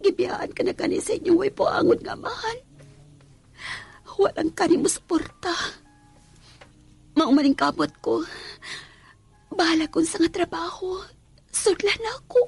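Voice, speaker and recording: A woman's voice: extremely high pitch, unhurried, creaky, pauses often, full character voice. An actress with a Cebuano Filipino accent playing a poor, self-pitying young mother in an emotional, pleading radio drama style. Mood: sorrowful, tearful. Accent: Filipino, Cebuano-speaking. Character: poor self-pitying young mother leaving her children for maid work. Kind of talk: Philippine radio drama acting.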